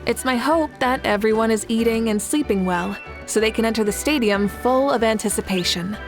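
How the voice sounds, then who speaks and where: A confident leader of a nation, voiced by a woman in a game, speaking in a slightly high voice. She teases her companions with easy, self-assured authority. Faint game music sits underneath. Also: normal speed, close to an everyday voice. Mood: calm.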